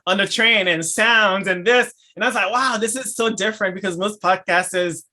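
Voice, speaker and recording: A man with a Haitian accent hosting a casual podcast chat, enthusiastic and laughing often, with halting restarts. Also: high voice, sharp tone. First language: French and Haitian Creole. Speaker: podcast host.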